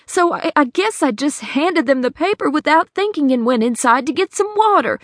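A woman voicing a distraught middle-aged woman who has been cheated of her savings, talking high and pleading. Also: expressive, normal speed, no echo, clean studio sound. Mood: anxious.

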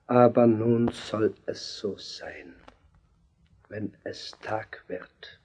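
Biblical radio drama: weary, resolute king, unhurried monologue, faint noise behind. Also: fairly low pitch, steady tone, a little mellow, poor audio. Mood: weary, brooding. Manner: deliberate, brooding monologue. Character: war-weary king planning battle, brooding, resolute. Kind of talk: German biblical radio play acting.

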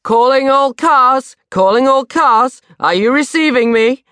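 A woman's voice: high voice, measured pace, a bit bright, clean tone, dry recording, voice pushed up. A woman voicing a silly middle-aged dad who jokily puts on a radio operator's announcing call in a comic audiobook. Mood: jokey, loud. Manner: mock-announcing, jokey.

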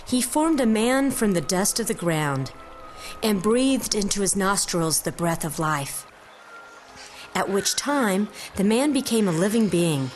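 A woman's voice: mid-range voice, animated, unhurried. A woman giving scripted storytelling narration of a Bible story as an audiobook narrator. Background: music.

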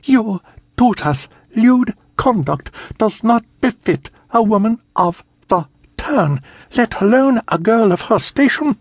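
Brief: male narrator doing a high, shrill, scolding governess woman, prim and wrathful, in a storybook reading. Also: expressive, takes it slowly, dry recording, full character voice. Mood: stern. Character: stern prudish middle-aged female governess, scolding.

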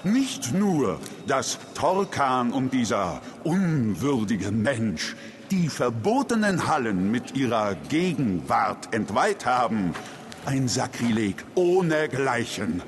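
A man's voice: medium pitch, sing-song, slow. A man playing an indignant, pompous alien councillor, declaiming in an audio drama. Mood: outraged. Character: indignant, haughty alien councillor, religious zealot. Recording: long hall reverb, slight noise.

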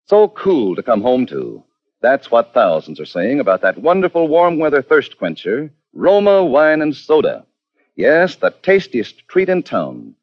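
Swooping American radio pitchman. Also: wildly swooping pitch, measured pace, dry recording. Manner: polished, salesmanlike. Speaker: radio announcer. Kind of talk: 1940s radio advertising read. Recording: dry, dull old radio recording.

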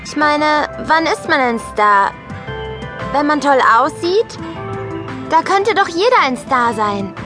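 A woman's voice: high-pitched, expressive, takes it slowly, music in the background. A woman playing an earnest, eager young schoolgirl in a children's audio drama. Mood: unsure, curious. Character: earnest, questioning young schoolgirl with magic spells.

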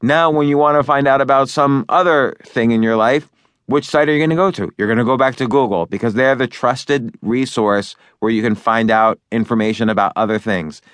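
A man's voice: medium pitch, brisk, fairly bright tone, no room sound, studio quality. A man narrating his own book in a loose, conversational way, with fillers and restarts as he talks over each point. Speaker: author and narrator.

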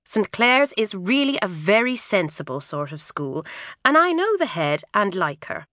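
Firm kind mum voice, woman reading, calm and sensible, bright and dry. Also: mid-range voice, moderate pace, no echo, voice pushed up. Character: firm but kindly middle-aged mother.

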